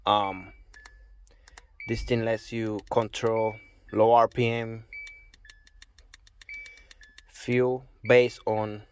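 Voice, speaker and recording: Puerto Rican man, low voice, halting explanation. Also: level tone, a little hiss or hum. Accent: Puerto Rican Spanish. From Puerto Rico. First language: Spanish. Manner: halting, searching for words, self-correcting. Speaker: car owner showing his truck. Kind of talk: casual walkaround explanation. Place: outdoors by a pickup truck.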